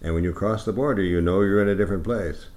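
A man interviewing a guest in a relaxed, friendly way, joking along as he asks questions. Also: sits low, fast talker. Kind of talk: casual radio-style interview.

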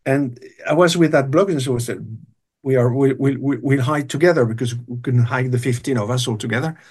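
Older male guest, middle pitch, halting anecdotal delivery. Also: darkish tone, husky. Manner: rambling, hesitant, many restarts and fillers. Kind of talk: storytelling interview.

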